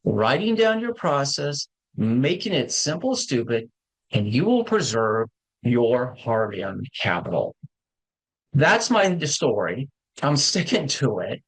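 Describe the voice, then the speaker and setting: Man, 50s, animated, conversational host who corrects himself mid-thought. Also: medium pitch, takes it slowly, breathy edge, poor audio. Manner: conversational, repeats and corrects himself, plain-spoken. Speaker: market show host. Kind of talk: casual educational talk on investing.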